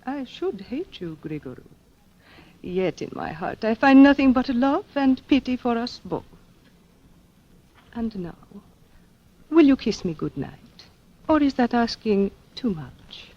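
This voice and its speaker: A woman's voice: fairly high pitch, big pitch swings, unhurried, pauses often. Muffled, lovelorn queen. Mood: wistful, loving. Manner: dramatic, tender, formal. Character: lovelorn, wistful foreign queen, devoted wife. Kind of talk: 1940s radio acting. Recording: muffled old radio recording.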